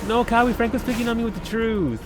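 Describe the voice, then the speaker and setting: A man's voice: extremely high pitch, fast, noisy background. A man casually chatting to his viewers while playing a game live, rambling and laughing at himself. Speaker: game streamer. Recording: game music playing underneath. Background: game music.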